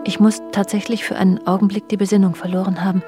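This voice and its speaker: A woman's voice: mid-range voice, level tone, quick pace, lightly acted. An actress playing an introspective, bitterly observant woman narrating her youth in a radio drama, sober and intimate. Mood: tense, restrained. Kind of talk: literary first-person radio drama narration. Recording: music behind the voice. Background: music.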